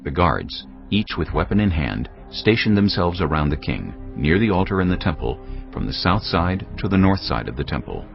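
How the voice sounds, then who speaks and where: A man narrating scripture as a dramatised audio Bible, with measured, solemn storytelling delivery. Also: deep voice, big pitch swings, vocal fry. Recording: dull, lo-fi audio with music. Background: background music.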